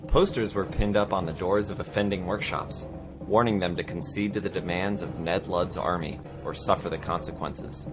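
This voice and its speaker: A man's voice: sits low, normal speed, music in the background. A man giving dramatic scripted narration of history, as in a radio play. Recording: clear background noise and ambience. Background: noisy ambience.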